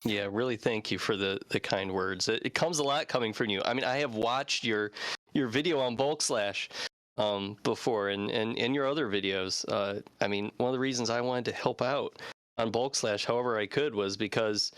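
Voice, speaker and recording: A man's voice: lowish voice, moderate pace, a bit bright, dry recording. A man in his thirties chatting casually as a panel guest, earnest and enthusiastic. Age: 30s. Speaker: guest, fan translation team member.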